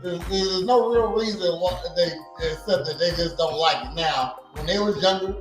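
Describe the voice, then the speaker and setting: Man in his forties, high voice, rambling profane podcast chat, heavy room echo, faint music behind. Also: moderate pace. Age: 40s. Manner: rambling, laughs often, repeats "you know what I mean". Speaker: podcast co-host.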